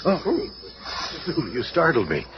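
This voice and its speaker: A man's voice: fairly high pitch, wildly swooping pitch, slow, full character voice. An actor playing an earnest, authoritative scientist hero who leads his team in a radio superhero drama. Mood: concerned, explaining. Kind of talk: old-time radio superhero drama acting. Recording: dull, noisy old radio recording.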